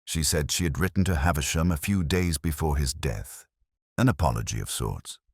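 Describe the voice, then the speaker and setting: Man acting melancholy detective narrator, very low voice, expressive rise and fall, measured, literary, scripted radio mystery. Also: slightly rough, no room sound, studio quality, exaggerated voice. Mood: pensive. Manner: measured, literary, brooding delivery.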